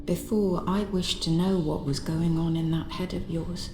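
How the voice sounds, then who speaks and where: A woman playing a weary, embittered noblewoman wife in a video game drama, measured, sorrowful and coldly resigned. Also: on the low side, level tone, music in the background, lightly acted. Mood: bitter resignation. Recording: echoey room with faint ambient noise.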